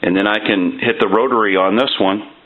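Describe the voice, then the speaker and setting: A man's voice: fairly low pitch, steady tone, fairly quick. A man giving a casual hands-on demonstration as a repair technician, explaining step by step in a plainspoken way. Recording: tinny phone-like band, loud noise.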